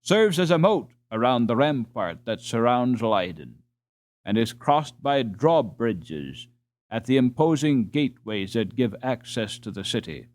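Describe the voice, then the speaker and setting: A man's voice: medium pitch, lively intonation, unhurried, a little mellow. A man narrating a storybook as a scripted audiobook reading.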